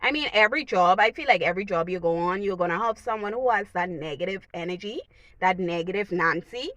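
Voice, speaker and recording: Bahamian lady vlogging advice, preachy and animated, repeats stuff, dry room. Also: medium pitch, no room sound. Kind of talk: casual advice talk to camera.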